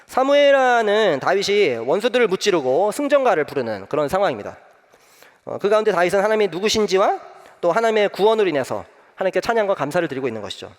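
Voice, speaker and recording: A young man preaching a sermon as pastor, telling a personal story with many pauses and filler sounds. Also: high-pitched, long reverb tail. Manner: gentle, frequent "eo" fillers, warm storytelling.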